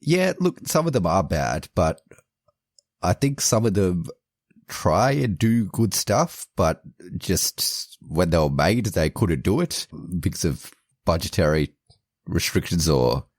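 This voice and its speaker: A man's voice: low-pitched, animated, takes it slowly, dry recording, studio quality. A man co-hosting a podcast in casual chat, joking and opinionated, laughing often. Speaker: podcast co-host.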